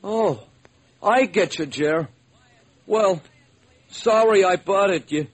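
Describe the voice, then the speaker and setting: High-pitched eager, gullible radio sidekick. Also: male voice, takes it slowly, breathy edge, full character voice. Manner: folksy, excitable, uneducated slangy speech. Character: eager, gullible, good-hearted ex-burglar diner owner, male. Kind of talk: old-time radio comic sidekick acting. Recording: slightly noisy old radio recording.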